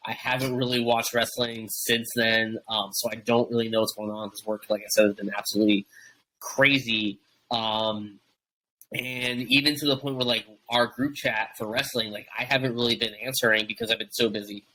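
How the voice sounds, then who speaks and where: A man's voice: medium pitch, little pitch movement, normal speed, pushed and bright, low-quality recording. A young man co-hosting a wrestling podcast, chatting casually and rambling with lots of fillers and restarts. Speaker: podcast co-host.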